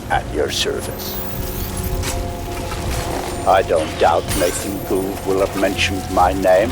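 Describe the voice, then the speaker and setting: An actor playing an urbane older mastermind in a video game, measured, erudite and sardonic as he guides the player. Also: deep voice. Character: urbane older male mastermind, wry and condescending. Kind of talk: guiding monologue in a video game.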